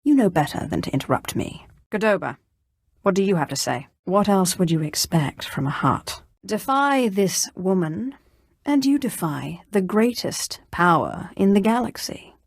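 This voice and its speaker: An actress playing an imperious, menacing Sith sorceress risen from slavery in a video game, with a haughty, commanding delivery. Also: female voice, medium pitch, sing-song, moderate pace, dry recording, clean studio sound. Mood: threatening, disdainful. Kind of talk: scripted game dialogue.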